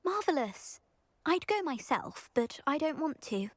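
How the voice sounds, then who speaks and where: A woman's voice: high voice, sing-song, a little slow. A woman voicing a vain, scheming teenage schoolgirl in a video game, with a pompous, genteel British delivery. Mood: smug, pleased. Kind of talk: video game character acting.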